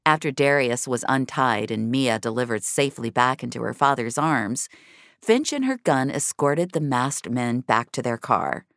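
A woman's voice: very deep voice, expressive, moderate pace, dry recording. A woman narrating scripted audio fiction as a storyteller.

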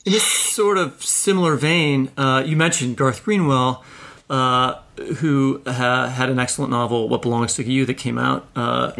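A man hosting a literary podcast, asking questions conversationally with halting, thoughtful phrasing. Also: medium pitch, fairly even intonation, vocal fry, fairly bright tone. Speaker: podcast host.

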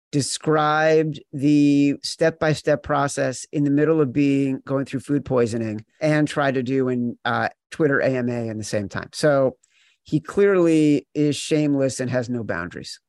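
A man's voice: medium pitch, measured pace, a little mellow, no echo, clean studio sound. A man hosting a sports betting podcast, talking in an emphatic, teasing, mock-exasperated way. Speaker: podcast host.

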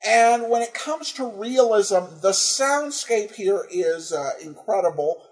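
A man hosting a podcast with informal, halting commentary, often searching for words. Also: sits high, lively intonation, rough recording. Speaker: podcast host.

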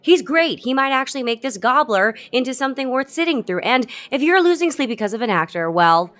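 An actress playing an unflappable theatre producer in an audio comedy, wry, sarcastic and firm. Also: female voice, high voice, expressive, brisk, fairly bright tone. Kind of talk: audio musical comedy acting.

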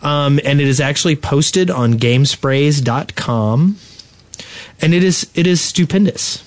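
A man's voice: on the high side, measured pace, dry recording. A man co-hosting a gaming podcast with casual, self-deprecating banter. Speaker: podcast co-host.

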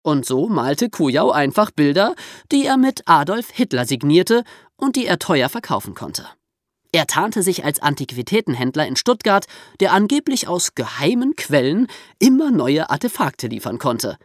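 A man's voice: fairly high pitch, very animated, normal speed, no echo, clean studio sound. A man co-hosting a podcast, explaining history in a conversational storytelling manner. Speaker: podcast host.

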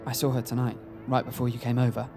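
A man's voice: mid-range voice, completely flat delivery, fast talker, lightly acted. An actor playing an earnest, apologetic male friend in an audio drama, speaking sincerely with a hesitant stammer. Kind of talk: naturalistic audio fiction acting. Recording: music behind the voice.

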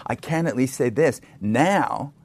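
American man host, mid pitch, very expressive up-and-down delivery, playful and teasing. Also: measured pace. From United States. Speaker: podcast host, film critic. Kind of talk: casual interview chat.

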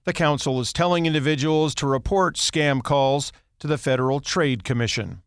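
A man's voice: on the high side, moderate pace, clear, resonant tone, dry recording, studio quality. A man with an American accent reading scripted news as an anchor.

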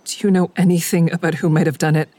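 A woman's voice: fairly low pitch, little pitch movement, quick pace, warm tone, no echo, close to an everyday voice. An actress playing an anxious, conflicted woman in an audio drama, speaking plainly and with some hesitation. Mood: uneasy.

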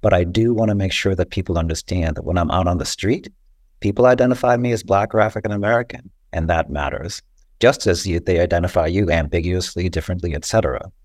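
A man with a very low voice talks as an interview guest in a dry, close-sounding recording. He explains step by step in a deliberate, didactic manner, often pausing to check agreement. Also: darkish tone, no echo. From Costa Rica. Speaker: interview guest, social worker and writer.

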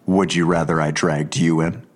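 Menacing, very low-voiced male detective. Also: little pitch movement, moderate pace. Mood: suspicious, pressing. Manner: pressing, accusatory, pointed questioning. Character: menacing, suspicious male police detective interrogating a woman. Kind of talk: audio drama acting.

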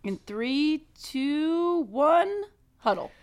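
A woman's voice: high-pitched, big pitch swings, drawn-out words. A woman co-hosting a casual sports podcast, upbeat and encouraging, with fillers when explaining. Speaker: podcast co-host.

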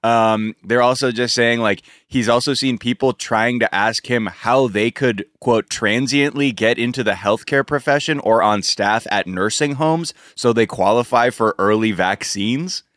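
A man's voice: medium pitch, a little slow, hardly any pauses, a bit bright, dry recording, studio quality. Exasperated, profane man on a podcast. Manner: loose, profane, exasperated riffing. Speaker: podcast co-host. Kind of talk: casual podcast chat.

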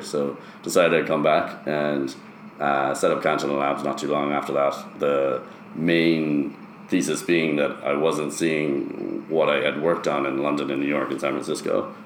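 Very deep-voiced man in a roomy space. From Ireland, later years in the US. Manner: explanatory, often checks with "right?". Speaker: interview guest, startup founder. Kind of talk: conversational interview answers. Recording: roomy echo, faint background noise.

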